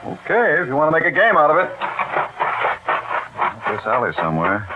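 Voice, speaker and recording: An actor with an American accent playing a brisk, reassuring army major in a radio drama. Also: male voice, fairly high pitch, huge pitch swings. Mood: calling out. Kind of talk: 1940s radio drama acting. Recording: noisy, tinny old radio recording.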